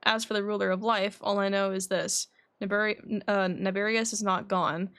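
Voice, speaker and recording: A woman voicing a composed, scholarly young male alchemist from a video game, reading his dialogue in a measured, formal, reflective way. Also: mid-range voice, flat delivery, fairly bright tone, clear, resonant tone, close to an everyday voice. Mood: measured, earnest.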